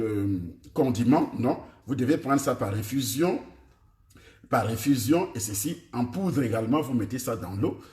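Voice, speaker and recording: Cameroonian preacher teaching in an echoey room. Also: male voice, medium pitch, dark tone. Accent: Cameroonian French. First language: Ewondo. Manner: repetitive, addresses listeners directly with questions. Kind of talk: teaching on health and food to a congregation.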